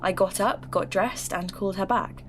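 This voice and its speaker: A woman playing an earnest, determined podcaster, narrating a mystery audio drama in a measured, confiding way. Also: medium pitch, fairly even intonation, soft background music, natural voice. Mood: confiding, wounded. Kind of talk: scripted first-person audio drama narration.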